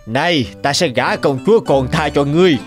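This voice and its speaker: A man's voice: fairly high pitch, moderate pace, faint music behind, close to an everyday voice. A man voicing a jovial, stately middle-aged king in a cartoon. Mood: pleased, regal.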